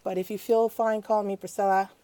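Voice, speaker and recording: A woman hosts a casual podcast. She talks in a chatty, playful way. Her voice sits at an ordinary middle pitch. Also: level tone, dark tone, no echo. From Nigeria. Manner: chatty, playful, quick to laugh. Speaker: podcast co-host.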